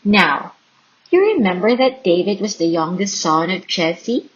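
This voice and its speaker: A woman reading a children's Bible story aloud in an expressive, dramatic and deliberate storytelling style. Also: lowish voice, breathy edge, dull audio. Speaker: storybook reader.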